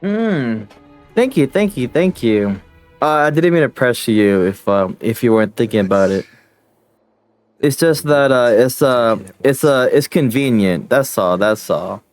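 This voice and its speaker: A man's voice: medium pitch, lively intonation, a little slow. A man chatting casually on stream, rambling and tripping over fillers as he talks himself down. His voice sits in the middle of the range, with faint music playing behind. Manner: rambling, self-deprecating, filler-heavy.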